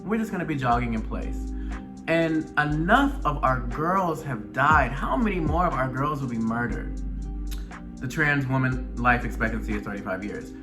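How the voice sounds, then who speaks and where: A man's voice: medium pitch, animated, moderate pace, over music. A man from Atlanta with a Southern US accent speaks earnestly and emphatically to his viewers in a personal commentary, repeating phrases to drive them home. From Atlanta, Georgia, United States. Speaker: video blogger, show host.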